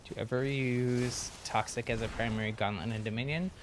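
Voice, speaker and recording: A man's voice: medium pitch, fairly even intonation, fairly quick, noisy background. A man streaming a game, chatting casually with viewers, friendly and chatty with frequent ums and laughs. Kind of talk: casual chat while gaming on stream.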